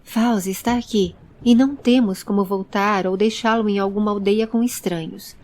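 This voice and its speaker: A composed young woman traveller in an audio story acted by a female narrator. She reasons calmly and firmly, protective and resolute, in a soft-toned voice. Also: medium pitch, normal speed, lightly acted.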